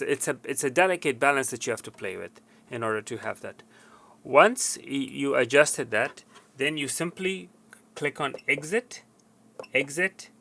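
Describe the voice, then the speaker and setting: A man giving an informal technical tutorial, explaining step by step in a somewhat halting way. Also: mid-range voice, gravelly, sharp tone. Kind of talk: informal how-to instruction.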